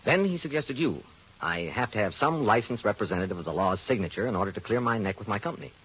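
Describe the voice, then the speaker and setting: American insurance guy, pushy, talks fast, pitch jumps around, old time radio drama. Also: expressive, a bit bright, husky. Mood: low, persuasive. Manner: urgent, emphatic, persuasive. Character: brisk, insistent male insurance adjuster and pilot. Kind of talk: 1940s-50s radio drama acting. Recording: muffled, noisy old radio recording.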